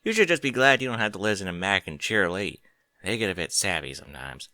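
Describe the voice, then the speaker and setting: A man's voice: low voice, big pitch swings, fast, pushed and bright, no echo, clean studio sound, full character voice. A man voicing a friendly, down-to-earth country pony mare with an easygoing drawl.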